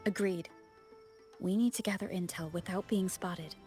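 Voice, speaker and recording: A woman's voice: lowish voice, moderate pace, mellow, soft background music, close to an everyday voice. A woman voicing a composed, businesslike young adventurer captain in a video game. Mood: focused.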